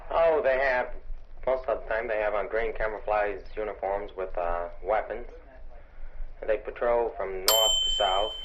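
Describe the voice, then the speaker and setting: Low-voiced army sergeant, dull noisy field tape. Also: male voice, normal speed. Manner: plain, matter-of-fact. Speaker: army sergeant, border observer. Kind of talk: interview answers. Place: observation tower.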